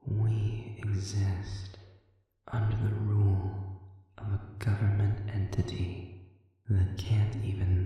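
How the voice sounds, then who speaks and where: In a cavernous, heavily reverberant space, a sadistic demon prisoner played by a man speaks from a distance. His voice is a soft, dark murmur, extremely unhurried. He is calm and manipulative, taunting silkily with deliberate pauses, in the manner of an audio drama. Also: sits low. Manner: measured, deliberate, insinuating, with rhetorical questions and pauses. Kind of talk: scripted audio roleplay monologue. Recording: distant, reverberant, echoing chamber sound.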